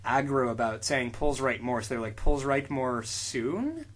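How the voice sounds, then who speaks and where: A man's voice: medium pitch, lively intonation, unhurried. Giggly, stammering man co-hosting a podcast. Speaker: podcast co-host. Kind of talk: comedic podcast banter.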